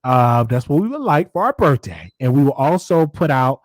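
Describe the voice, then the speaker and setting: A man's voice: medium pitch, sing-song, moderate pace, no echo. A man in his thirties with a Southern US accent, casually chatting with viewers as host of a live stream, laughing often and repeating himself. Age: 30s.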